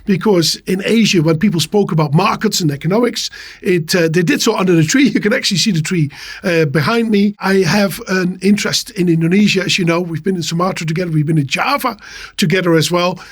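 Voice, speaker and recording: A man from the Netherlands speaks English with a Dutch accent. His voice is high for a man and has a husky grain. He explains history conversationally, as a guest on a podcast. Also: brisk.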